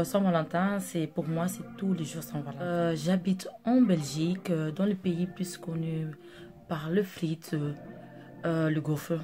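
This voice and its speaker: A young woman from Angola whose first languages are Dutch and Portuguese, chatting casually and rambling with many fillers as a makeup artist vlogger. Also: on the low side. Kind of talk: casual chat to camera. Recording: music playing under the voice.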